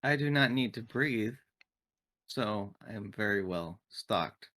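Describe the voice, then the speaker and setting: A man's voice: lowish voice, expressive, slow pace, bright, forceful tone, exaggerated voice. A man voicing a polite, literal android crew member with formal, precise, unemotional phrasing in a tabletop game. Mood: calm, formal. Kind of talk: in-character tabletop roleplay.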